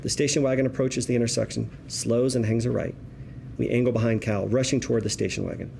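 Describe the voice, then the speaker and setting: A man narrates screenplay action as the stage-direction reader. His voice is medium in pitch with a soft, dark tone. A little noise sits behind him. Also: steady tone, quick pace, gravelly.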